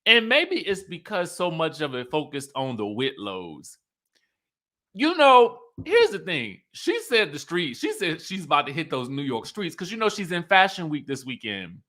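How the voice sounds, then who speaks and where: A man with a slightly high, very bright, forward voice hosts a casual livestream review. He talks straight to his viewers in an emphatic, conversational way, often checking that they follow him. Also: wildly swooping pitch, moderate pace. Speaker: host of an online TV review show.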